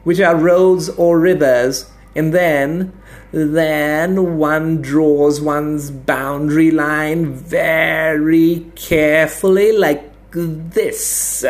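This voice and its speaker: A prim, self-satisfied scholar, put on by a male narrator, lectures in a raised, thin voice with an odd, altered timbre. He draws out his words slowly and fussily, and his stammer shows whenever he is flustered. It is an audiobook character voice. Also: on the high side, steady tone, pitched above the performer's own voice. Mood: smug lecturing. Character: stout bespectacled male academic mapmaker, pompous.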